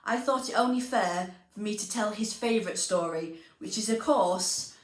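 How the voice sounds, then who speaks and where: A woman presenting and reading stories to young children, speaking expressively and deliberately, addressing them directly. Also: mid-range voice. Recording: roomy echo, music underneath.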